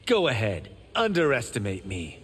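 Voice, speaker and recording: A man's voice: mid-range voice, huge pitch swings, moderate pace, a bit bright, husky, big-room sound, full character voice. An actor playing a cocky, witty young male monk fighter in a video game, wry and joking in manner. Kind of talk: video game character voice acting.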